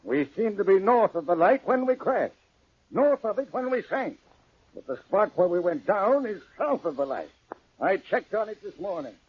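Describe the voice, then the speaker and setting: A sea captain who stands his ground, earnest and formal in his seafaring speech. The voice sits high with a husky edge, in the manner of old-time radio drama. The recording is muffled and vintage. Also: big pitch swings, normal speed, heavily acted. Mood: earnest, defensive. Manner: formal nautical speech with "aye". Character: earnest, defensive sea captain with superstitious streak.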